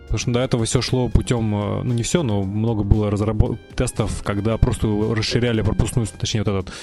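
A man with a fairly low voice talks quickly in a casual technical discussion. He explains as he goes, often correcting his own wording, over faint music. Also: steady tone.

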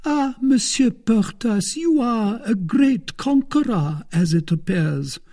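A man voicing a jealous, reproachful middle-aged wife in a high, pleading manner. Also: lively intonation, slow, warm tone, heavily acted. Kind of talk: audiobook character reading.

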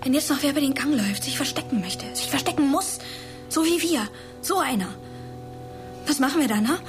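A woman's voice: sits high, animated, fairly quick, music in the background. A woman playing an earnest, indignant teenage schoolgirl in a radio drama, urgent and reproachful. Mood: anxious, insistent. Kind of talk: naturalistic radio drama acting.

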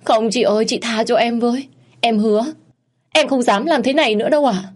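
A frightened young mistress pleads tearfully for mercy, as a woman narrator puts her on. Her voice stays husky. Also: fairly high pitch, fairly even intonation, a little fast, darkish tone, voice pushed up, close to an everyday voice. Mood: begging.

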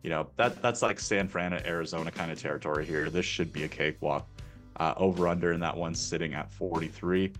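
Deep-voiced male sports betting analyst. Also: fairly quick, fairly bright tone. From Canada. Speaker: sports betting oddsmaker, guest. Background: faint music.

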